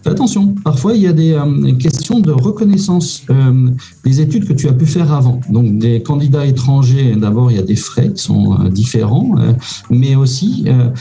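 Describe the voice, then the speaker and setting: Hesitant man, mellow dark voice. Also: medium pitch, expressive, normal speed, vocal fry, soft background music, low-quality recording. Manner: hesitant, many "euh" fillers, informal. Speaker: immigration consultant guest. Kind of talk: casual advice chat.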